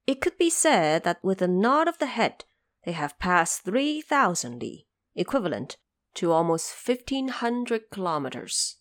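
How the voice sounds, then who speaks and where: A woman narrating a scripted audio drama tale, pausing for explanatory asides. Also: mid-range voice, sing-song, no room sound.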